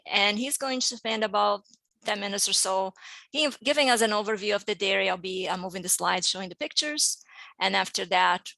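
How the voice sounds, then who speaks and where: A woman's voice: mid-range voice, vocal fry, pushed and bright, dry recording, low-quality recording. A woman hosting a webinar over a video call, speaking in a friendly way with frequent fillers. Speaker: host.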